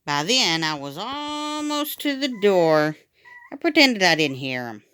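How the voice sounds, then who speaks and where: Woman, children's read-aloud, dramatic storyteller, very animated intonation, bright, dry studio-like sound. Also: mid-range voice, a little slow, smooth, no room sound. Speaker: storytime reader.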